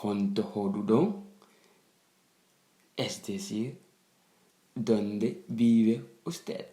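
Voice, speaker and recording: Slow-speaking man teaching, echoey room. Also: lowish voice, warm tone. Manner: deliberate, repeats phrases. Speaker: language teacher. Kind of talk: language lesson, phrase by phrase with repetition. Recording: roomy, echoing sound.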